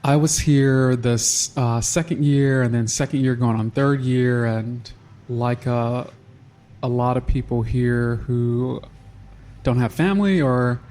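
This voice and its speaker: A man guest on a podcast, talking through how he started a charity in a halting, unhurried way with frequent restarts. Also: medium pitch, fairly even intonation, a little mellow. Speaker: nonprofit founder, guest. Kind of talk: casual interview.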